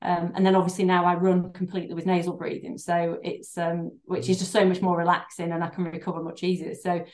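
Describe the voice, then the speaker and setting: Earnest woman with a low voice, roomy sound. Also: completely flat delivery, fairly quick, hardly any pauses, darkish tone. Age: middle-aged. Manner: earnest, rambling, many restarts and fillers. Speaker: guest, doctor and breathing therapist. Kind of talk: podcast interview. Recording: roomy echo.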